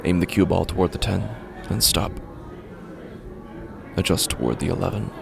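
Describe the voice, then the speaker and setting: Creaky, low-voiced man narrating horror. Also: animated. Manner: halting, uneasy, breaks off mid-sentence. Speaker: podcast narrator. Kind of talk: first-person horror story narration. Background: music score.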